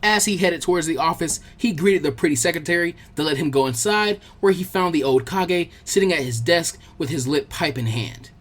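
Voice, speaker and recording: A man giving an expressive scripted narration of a fan fiction story as an audiobook. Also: a little high, fairly quick, few pauses.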